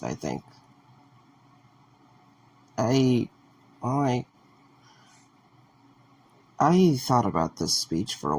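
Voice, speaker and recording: Man acting a halting, grieving young man, creaky low voice. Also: a little hiss or hum, full character voice. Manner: halting, hesitant. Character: grieving, awkward, soft-hearted young former security guard. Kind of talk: audio drama acting.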